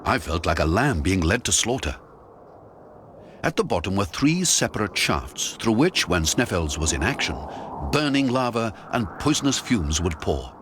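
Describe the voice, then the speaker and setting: Low-pitched, expressive male story narrator. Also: moderate pace, noisy background. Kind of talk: dramatic scripted first-person story narration. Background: music.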